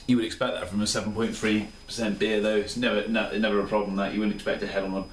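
A man's voice: fairly low pitch, level tone, fast talker, recorded from a distance, a little hiss or hum. Tired, chatty man, roomy kitchen. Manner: chatty, rambling, enthusiastic. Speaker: beer reviewer. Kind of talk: casual solo review. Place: small home kitchen, indoors. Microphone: camera mic at a few steps. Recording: roomy, off-mic home camera sound.

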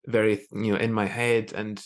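A man in his thirties hosting a conversational interview over a video call, rephrasing haltingly as he thinks. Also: on the low side, flat delivery. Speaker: podcast host. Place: small plain room indoors. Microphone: video call with earphones.

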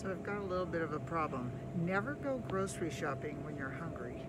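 A woman's voice: sits low, a little fast, over loud music. A middle-aged American woman, a teacher talking animatedly and casually to her students in a video lesson. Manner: animated, addressing children directly. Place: inside a car, then a grocery store. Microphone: phone at arm's length. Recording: noisy car and store ambience.

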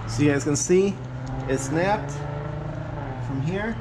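A man's voice: on the high side, takes it slowly, noisy background. Chatty man demoing a tool in a garage. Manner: chatty, talks to viewers while working with his hands. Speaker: vlogger reviewing a tool. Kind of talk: casual how-to narration while working. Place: garage with a car, door open to outdoors.

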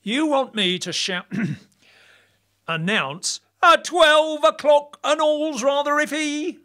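A woman's voice: high-pitched, slow, sharp tone, exaggerated voice. A woman playing a hesitant, eager-to-please male night watchman in a comic audio play. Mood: doubtful, hesitant. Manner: unsure, questioning, easily led.

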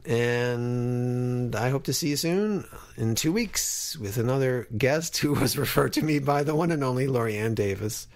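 A man's voice: medium pitch, a little slow, darkish tone. A man talking casually and encouragingly as a podcast host.

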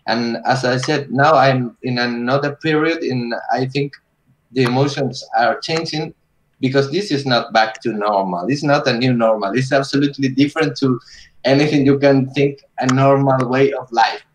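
Guy in his 30s on a video call, earnest and reflective, nonnative english, mid pitch. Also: fairly even intonation, normal speed, slight background noise, low-quality recording. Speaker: panelist, digital painter. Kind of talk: video call panel discussion. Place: home room with bookshelves.

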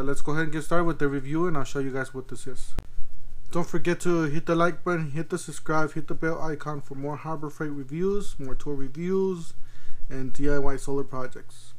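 A man's voice: fairly high pitch, poor audio. A man in his thirties casually reviewing a product at an office desk, rambling with lots of ums and restarts. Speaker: tool reviewer. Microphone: clip-on camera mic.

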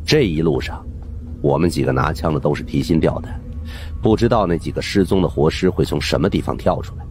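Very deep-voiced man narrating a suspense tale. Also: fairly quick, slight background noise. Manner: storytelling, expressive. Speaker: audiobook narrator. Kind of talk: dramatic scripted narration of a suspense horror novel.

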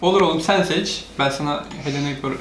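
A man's voice: fairly high pitch, quick pace, a bit bright, a little hiss or hum. A man, probably a parent, hosting casual reaction and gameplay commentary, explaining things to viewers and a child. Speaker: host of a family gaming channel. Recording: roomy echo, music behind. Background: cartoon and game audio with music.

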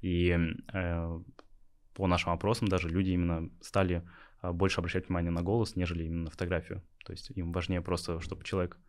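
A man's voice: sits low, level tone, fast talker, a little mellow. A young man from Yakutia, a startup founder, answering interview questions hesitantly with many filler sounds. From Yakutia, Russia. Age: young adult.

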